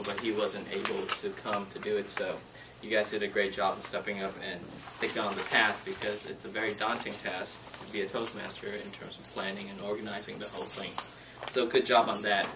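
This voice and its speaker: Man leading a club meeting, distant and noisy. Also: fairly low pitch. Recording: distant mic with loud noise.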